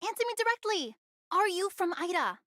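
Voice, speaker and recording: A woman's voice: very high-pitched, very animated, fairly quick, pushed and bright, dry recording, studio quality, exaggerated voice. A woman voicing a bossy, sly young woman in an anime game, commanding and teasing in manner. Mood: suspicious, bossy.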